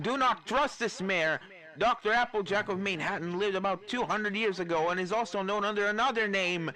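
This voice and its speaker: A man voicing a scholarly professor who explains things gravely and emphatically in a fan fiction reading. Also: mid-range voice, bright, forceful tone, voice pushed up, close to an everyday voice, poor audio. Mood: alarmed.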